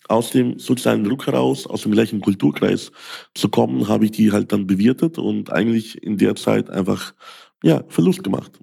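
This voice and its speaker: A man's voice: fairly low pitch, creaky, dark tone, breathy edge. A man from Ukraine, a sales coach, talking through a podcast monologue with many fillers and "ja?" tags. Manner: frequent fillers, rhetorical "ja?" tags.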